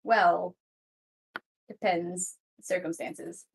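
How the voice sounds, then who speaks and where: Dry, low-pitched woman playing tabletop. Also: steady tone, drawn-out words, creaky, husky, low-quality recording. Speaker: tabletop game player. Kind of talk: casual in-character improvised chat.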